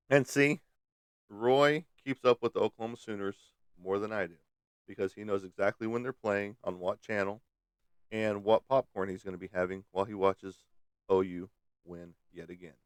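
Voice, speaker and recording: A man on the lower side of the pitch range, with a clean, resonant voice, chats casually from his desk. He teases a viewer and keeps breaking into little laughs. The sound is dry, with almost no room echo. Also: smooth. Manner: teasing, chuckles often. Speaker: host. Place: at a desk indoors.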